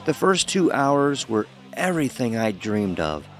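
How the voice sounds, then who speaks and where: An actor playing a young college pledge, narrating a first-person horror story in a wry, conversational way. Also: male voice, mid-range voice, very animated, measured pace, over loud music. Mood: wry, reminiscing. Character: young male college pledge recounting his hazing.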